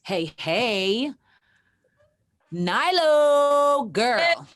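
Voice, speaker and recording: A woman hosting an online open mic, raspy voice, gushing casually with many restarts. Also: high voice, sing-song, very slow. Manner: effusive, chatty with many restarts. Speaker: host. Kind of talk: casual chat.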